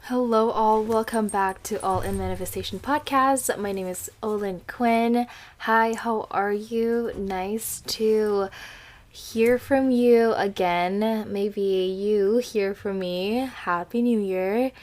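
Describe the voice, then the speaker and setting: A woman's voice: medium pitch, fairly even intonation, slow, darkish tone. Chatty young woman. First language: Indonesian. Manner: rambling, chatty, many fillers and restarts. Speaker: podcast host. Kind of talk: casual podcast chat.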